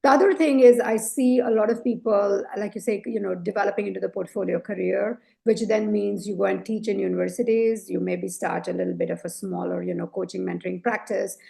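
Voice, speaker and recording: A woman leadership coach speaking as a podcast guest, with a low voice, reflective and earnest, often adding "you know" and "right?". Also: fairly even intonation, fairly quick, vocal fry, few pauses, mellow. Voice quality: low, deep voice. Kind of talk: conversational interview.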